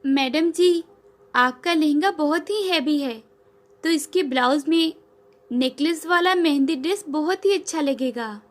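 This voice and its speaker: Cartoon role: poor, respectful young wife, performed by a woman, high-pitched, unhurried, gently reassuring manner. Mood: helpful. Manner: polite, reassuring. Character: poor humble young housewife, skilled and respectful.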